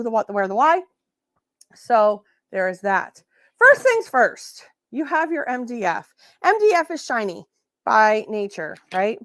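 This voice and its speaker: Hoarse woman hosting a crafting live. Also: on the high side, a bit bright, no echo, studio quality. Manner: sassy, chatty, self-correcting. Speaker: host. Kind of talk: casual livestream crafting tutorial.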